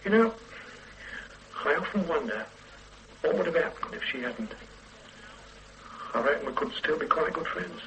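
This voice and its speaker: A man's voice: fairly high pitch, lively intonation, moderate pace, vocal fry, pauses often, a bit bright, slight background noise, low-quality recording. A weary ordinary grandfather. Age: older. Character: ordinary older man, weary husband and grandfather. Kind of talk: radio play acting.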